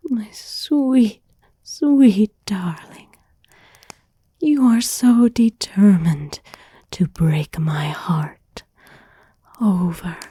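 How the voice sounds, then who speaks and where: ASMR roleplay: actress as obsessive girlfriend, sweetly threatening, soft dark whisper with heavy breath, lingering over each phrase. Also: medium pitch, slightly rough, no echo, heavily acted. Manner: slow, intimate, lingering phrasing with endearments. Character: obsessive, possessive yandere girlfriend, sweetly menacing. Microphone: close, dry ASMR microphone.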